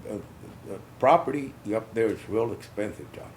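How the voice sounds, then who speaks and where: An older man from South Texas recalling his youth in a halting way, often repeating words. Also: lowish voice, expressive, a little hiss or hum. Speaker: interviewee, veteran. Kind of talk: casual recollection.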